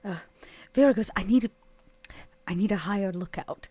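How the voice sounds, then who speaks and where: A woman's voice: mid-range voice, moderate pace, warm tone. A woman playing a tabletop roleplaying game, casually describing her character's actions and thinking aloud with some hesitation. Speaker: player in a tabletop roleplaying podcast.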